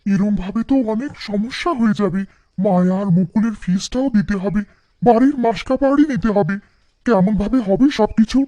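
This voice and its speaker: Man putting on a high, fretful village wife. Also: moderate pace, warm tone, no room sound, dull audio, natural voice. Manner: fretful, lamenting. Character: worried, complaining wife of a poor village seller. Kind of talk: animated folktale voice acting.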